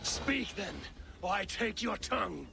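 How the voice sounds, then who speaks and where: An actor playing a stern, battle-weary Viking warrior in a video game, solemn, terse and menacing. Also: male voice, high voice, animated, measured pace, gravelly, noisy background, heavily acted. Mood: furious. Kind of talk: dramatic video game acting.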